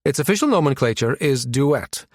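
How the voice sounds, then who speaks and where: Man playing an irritable, fussy scientist, pitched up. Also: lively intonation, normal speed, no room sound, studio quality. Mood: disapproving. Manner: fussy, spluttering, indignant. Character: irritable, pedantic male scientist, impatient and self-important.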